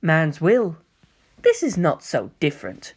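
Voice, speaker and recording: Story villain played by a man: young male angel hybrid, coy, smug, softly theatrical, very lively melody. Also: a little high, measured pace, husky, full character voice. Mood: smooth explaining. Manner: coy, gentle, musing, theatrical.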